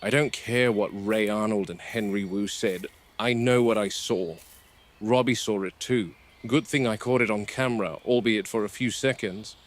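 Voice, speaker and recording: A man's voice: fairly low pitch, moderate pace, close to an everyday voice. Man acting a blunt game warden over background noise. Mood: insistent. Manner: blunt, indignant, exacting field-report delivery. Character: irate, seasoned male dinosaur park game warden. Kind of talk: found-footage horror audio drama. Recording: noisy with ambient background sound.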